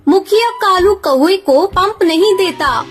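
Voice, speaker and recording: A woman's voice: extremely high pitch, lively intonation, measured pace, soft background music. A woman narrating a scripted children's story.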